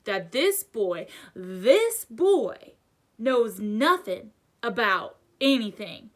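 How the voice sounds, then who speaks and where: A woman voicing a gruff, hot-tempered but kindly giant man for a storybook, with booming, growling, emphatic delivery. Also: animated, moderate pace, fairly bright tone. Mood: thundering anger. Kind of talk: children's storybook read-aloud.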